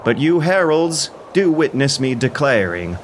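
A man's voice: medium pitch, big pitch swings, unhurried, slight background noise, voice pushed up. A man voicing a proud young warrior hero, aggrieved and pleading, in impassioned, declamatory audio drama style. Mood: bitter, defiant. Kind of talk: audio drama acting of epic poetry. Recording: music behind the voice.

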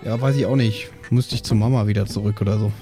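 A man's voice: on the low side, steady tone, fast talker, mellow, soft background music, close to an everyday voice. A man voicing a flighty, self-interested young speedster hero in an improvised roleplay. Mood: flighty, shrugging.

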